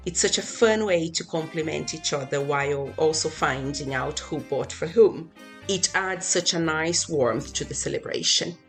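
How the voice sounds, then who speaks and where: A woman with a strikingly low voice, from Brazil and a native Portuguese speaker. She speaks as a podcast guest in an even, read-aloud way. Faint music plays underneath her. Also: moderate pace. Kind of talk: scripted podcast conversation.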